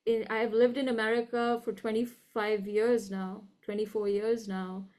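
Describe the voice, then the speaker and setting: A woman with a fairly high voice and an educated, upper-class Indian English accent. She talks as a reflective interview guest, articulate and deliberate. She lands her points with emphasis and often seeks agreement as she goes. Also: level tone, a little mellow. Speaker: guest, university professor.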